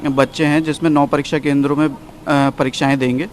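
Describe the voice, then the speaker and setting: Flat, halting Indian man giving official statement. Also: on the high side. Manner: halting, formal, repetitive. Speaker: local official. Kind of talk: statement giving figures to the press. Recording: noisy on-location news clip.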